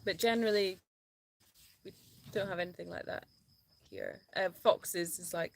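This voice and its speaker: Female co-host, casual and giggly, slightly low pitch. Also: moderate pace, vocal fry, slight background noise. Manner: reads out viewer comments, laughs often. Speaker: sanctuary co-host. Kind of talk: casual livestream chat.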